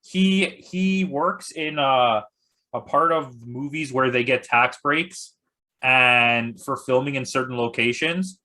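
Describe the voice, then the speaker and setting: A man's voice: medium pitch, slow pace, sharp tone. A man chatting casually as a podcast guest and movie critic, blunt, with dry joking. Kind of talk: casual chat.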